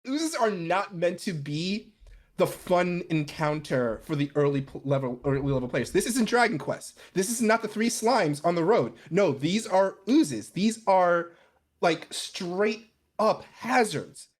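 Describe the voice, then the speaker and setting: A podcast co-host in casual comedic chat, telling stories in an animated, emphatic way. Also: mid-range voice, unhurried. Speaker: podcast co-host and game master.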